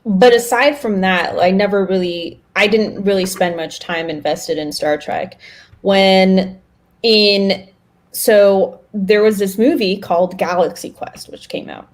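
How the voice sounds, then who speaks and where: A woman's voice: mid-range voice, measured pace. A woman co-hosting a podcast, talking in a casual, conversational style. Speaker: podcast co-host.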